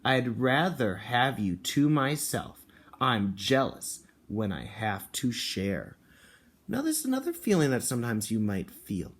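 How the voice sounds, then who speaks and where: A man speaking as a kindergarten teacher, reading and explaining to young children in an expressive, encouraging way with simple phrasing. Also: medium pitch, measured pace. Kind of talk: picture book read-aloud and talk to children and parents.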